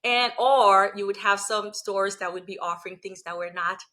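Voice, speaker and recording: Bright-voiced woman from Liberia. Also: mid-range voice, moderate pace. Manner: reflective, explanatory, frequent "you know" and "right?". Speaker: guest, coach and lawyer. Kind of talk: conversational interview.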